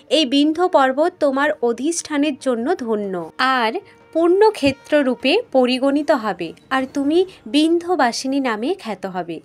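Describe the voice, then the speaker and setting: Female storyteller, high pitch, scripted narration of myth.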